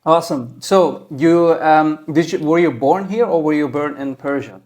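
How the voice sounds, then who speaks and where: A man's voice: on the high side, normal speed, a little mellow. A man from Belgium hosting a casual interview, eager and rambling, filling his talk with "like" and "yeah". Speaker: podcast host.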